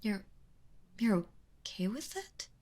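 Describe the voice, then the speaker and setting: A woman playing a kind but obsessive, lovesick yandere captor in an intimate ASMR audio roleplay, speaking softly and hesitantly in a pleading, breathy way. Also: medium pitch, big pitch swings, takes it slowly. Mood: flustered, apologetic. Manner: hesitant, pleading, soft-spoken with breathy drops into whispers.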